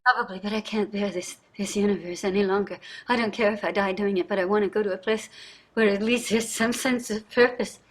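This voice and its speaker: A woman's voice: medium pitch, fairly even intonation, fairly quick, natural voice. A woman playing a distraught, guilt-ridden wealthy wife in a staged play reading, dramatic and emphatic. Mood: anguished, desperate.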